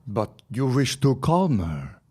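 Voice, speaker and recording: An actor playing a hesitant, deferential immigrant accomplice in an audio drama, speaking in halting phrases. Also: male voice, mid-range voice, very animated, mellow, husky, exaggerated voice. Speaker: Otto Zabansky. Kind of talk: radio drama acting.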